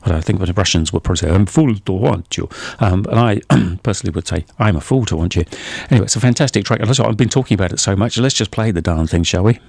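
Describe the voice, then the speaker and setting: A man's voice: on the low side, quick pace, creaky, a little mellow. A man hosting a jazz radio show in a studio, talking casually and rambling into humorous asides between tracks.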